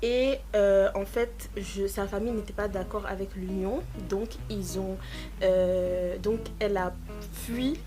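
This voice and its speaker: A young woman in her twenties from Côte d'Ivoire chats casually to camera. She laughs often and mixes English words into her talk. Clear background music plays under her voice. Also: mid-range voice, fairly even intonation, takes it slowly. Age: 20s. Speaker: YouTuber. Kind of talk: casual vlog chat to camera.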